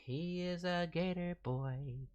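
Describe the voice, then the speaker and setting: A woman hosting a livestream as a voice director, giving casual, encouraging, animated critique. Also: lowish voice, slow pace. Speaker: streaming host and voice director reviewing demos.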